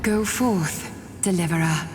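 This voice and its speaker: An actress playing a dignified, resolute noblewoman leader in a video game, speaking solemnly and calmly, often in breathy half-whispers. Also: on the low side, unhurried, close to an everyday voice. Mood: solemn resolve. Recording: echoing hall reverb under music.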